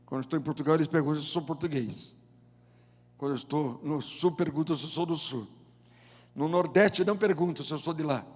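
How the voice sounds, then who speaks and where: Brazilian pastor in his seventies, reverberant hall. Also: fairly high pitch. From João Pessoa, Paraíba, northeast Brazil. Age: 70s. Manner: deliberate, emphatic phrasing with rhetorical questions and pauses. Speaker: pastor, preacher. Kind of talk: sermon with scripture reading and prayer. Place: large indoor church auditorium. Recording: long hall reverb of church auditorium.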